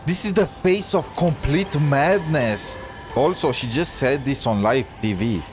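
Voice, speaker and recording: A man's voice: mid-range voice, a little slow, music in the background. A man giving casual live game commentary as a streamer, blunt and rambling.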